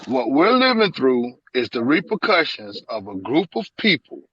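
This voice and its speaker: A man's voice: medium pitch, sing-song, normal speed, fairly bright tone, slightly rough, dull audio. A man in his forties on a video-call livestream, speaking emphatically and repeating phrases for weight. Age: 40s. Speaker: live-stream panelist. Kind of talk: heated casual discussion. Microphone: headset on a video call.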